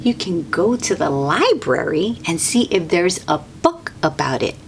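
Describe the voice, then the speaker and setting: A woman's voice: on the low side, very animated, measured pace, slight background noise. A woman reading a picture book aloud to young children, exclaiming and adding encouraging asides addressed straight to them.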